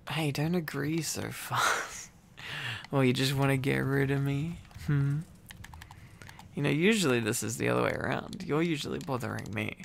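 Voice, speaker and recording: A man's voice: mid-range voice, moderate pace, gravelly, a little hiss or hum. A man doing a boyfriend ASMR roleplay in a playful, teasing, affectionate way, rambling intimately as a needy, silly boyfriend close to the microphone.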